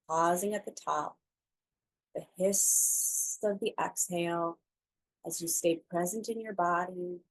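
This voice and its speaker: A woman instructor leading a slow guided breathing meditation, speaking softly and soothingly with long pauses between phrases. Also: mid-range voice, low-quality recording.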